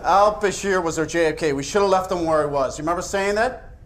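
A man's voice: high-pitched, fast talker, a little hiss or hum, close to an everyday voice. A man playing a scornful, aggressive agent in a video game interrogation, needling and accusing with open sarcasm. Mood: accusing, angry. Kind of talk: naturalistic video game acting.

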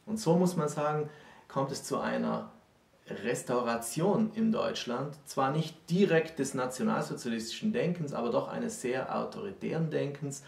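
Male co-host, 30s, informal lecturer; fry-laced voice, emphatic phrasing, microphone at some distance. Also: medium pitch, normal speed, echoey room. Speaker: co-host explaining history. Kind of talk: unscripted explanatory talk. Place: small book-lined room indoors.

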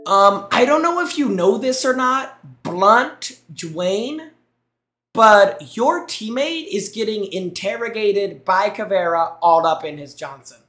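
A man's voice: sits high, animated, slow, pushed and bright, echoey room, slight background noise. A young man streaming on a headset mic in a small room, reacting to gameplay clips with teasing jokes and thanking his chat. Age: young adult. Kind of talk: casual live-stream commentary.

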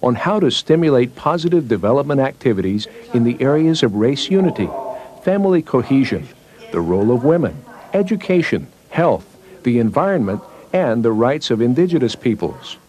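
A male narrator reads a scripted newsreel report. His pitch sits in the middle range for a man. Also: big pitch swings, moderate pace, a little mellow, low-quality recording.

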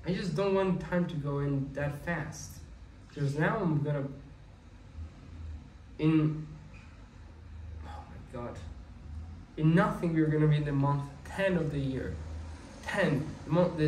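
A man's voice: fairly high pitch, measured pace, pauses often, darkish tone. Young man, about twenty, rambling casual monologue, wistful, echoey room, distant mic. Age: young adult. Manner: rambling, restarts often, wistful. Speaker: vlogger. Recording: echoey room, mic set back, faint ambient noise.

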